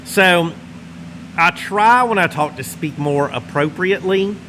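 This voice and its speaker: A man's voice: sits high, poor audio. A middle-aged man with a Northwest Louisiana Southern drawl, the channel host, chatting casually and meandering to the camera while he smokes in his garage workshop. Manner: easygoing, meandering, folksy drawl, pauses while smoking a cigar. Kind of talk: casual rambling chat to camera. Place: large insulated home garage workshop, indoors. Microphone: camera close in front, seated. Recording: steady heater hum behind voice. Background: heater running.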